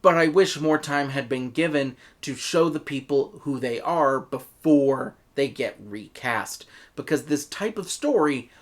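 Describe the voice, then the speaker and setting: American man reviewing, very animated pitch. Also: unhurried, hardly any pauses. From United States. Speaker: reviewer, host. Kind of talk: scripted review narration with personal opinion.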